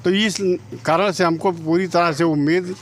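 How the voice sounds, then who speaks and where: A man's voice: fairly high pitch, steady tone, normal speed, a bit bright. A middle-aged man from Uttar Pradesh giving testimony in an interview, insistently arguing point by point and repeating his claims. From Uttar Pradesh, India. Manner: insistent, argues point by point, repetitive. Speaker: relative of the victim being interviewed.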